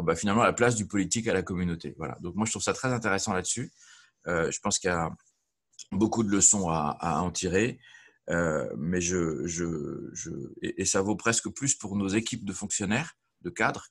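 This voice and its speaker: A man giving an informal webinar talk as a local official, pausing often as he searches for his words. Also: sits low, husky. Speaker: local elected official on a panel. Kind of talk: informal spoken presentation in a webinar.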